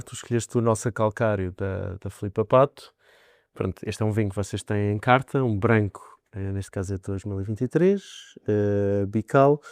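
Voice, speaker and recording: A man with a European Portuguese accent hosting a podcast, asking questions in a relaxed, hesitant way full of fillers. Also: fairly low pitch, clean tone, no room sound, studio quality. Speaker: podcast host.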